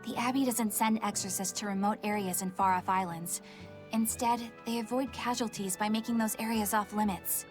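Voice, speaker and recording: A woman's voice: mid-range voice, steady tone, moderate pace, music in the background, lightly acted. An actress playing a dutiful female inspector in a video game, explaining things formally and precisely. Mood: explanatory.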